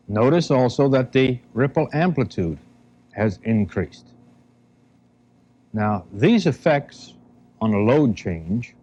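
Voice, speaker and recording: Low, mellow American male instructor, dull old soundtrack. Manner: measured, deliberate, step-by-step explaining in short phrases. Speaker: instructor narrating a training film. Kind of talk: instructional lecture narration. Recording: dull, band-limited 1970 film soundtrack.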